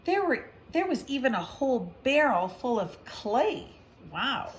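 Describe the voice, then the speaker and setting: A woman's voice: high voice, very animated, measured pace, slight background noise. A woman reading a picture book aloud, with chatty asides and questions as if to a young child. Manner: conversational, explaining to a young child, gentle questions. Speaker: storybook reader.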